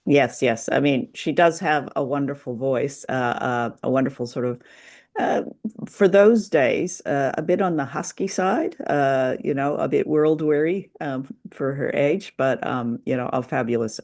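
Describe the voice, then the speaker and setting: A man of middling pitch co-hosts a podcast. He chats casually, in a halting, hesitant way. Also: creaky, darkish tone. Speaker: podcast co-host. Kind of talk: casual discussion.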